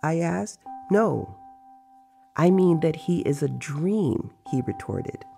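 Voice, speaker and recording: A man reading a dramatized story in a measured, deliberate narration. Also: a little high, big pitch swings, gravelly, mellow, faint music behind. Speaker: narrator reading book excerpts.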